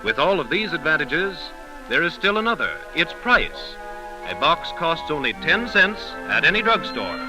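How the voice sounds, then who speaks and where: 1930s radio announcer, male, highish voice, scripted pitch read over music, vintage sound. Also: big pitch swings, normal speed, rough recording.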